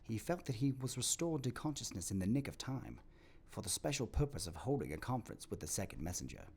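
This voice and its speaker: A man narrating a dramatic story from a script in an expressive, theatrical manner. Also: lowish voice, fast, creaky, husky.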